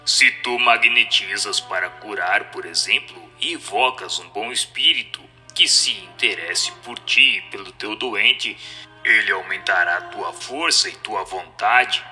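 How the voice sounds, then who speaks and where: Man voicing a deep, solemn spirit over music. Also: a little slow, pushed and bright. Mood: solemn, assured. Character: solemn, assured male spirit answering questions with authority. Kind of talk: dramatized scripted reading.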